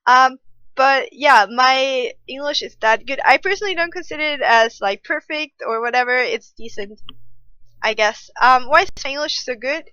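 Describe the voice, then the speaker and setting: Bright high Austrian woman vlogging. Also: normal speed, smooth, no echo, highs cut off. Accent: Austrian German. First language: German. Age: 20s. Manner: chatty, self-mocking, many ums. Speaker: streamer. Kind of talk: casual vlog to camera. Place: home room at night.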